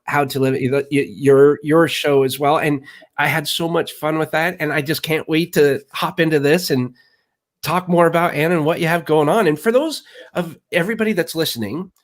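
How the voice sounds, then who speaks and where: Male interview host, slightly high voice, eager and rambling. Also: normal speed. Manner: excitable, rambling with restarts. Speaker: podcast host. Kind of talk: interview hosting.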